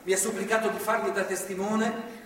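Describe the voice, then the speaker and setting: A sanctimonious religious zealot in a stage drama, preachy and controlling. He speaks in a high male voice, far from the mic in a very echoey hall. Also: flat delivery, brisk, a bit bright. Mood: insistent, persuasive. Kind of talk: live stage drama acting. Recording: distant mic, echoey theatre hall, faint noise.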